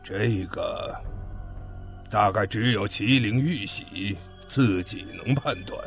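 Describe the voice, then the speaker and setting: A grave, commanding father played by a man speaks in a fan audio drama set in ancient times. His voice sits low and his words come very slowly and heavily. Soft music plays behind him. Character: stern father of a young heir, ancient China.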